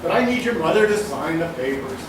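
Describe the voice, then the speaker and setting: A man's voice: on the high side, animated, a little fast, close to an everyday voice. A man playing a blustery, exasperated older family judge in a live radio-play comedy, emphatic and huffing. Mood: flustered. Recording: echoey hall, far mic, noisy.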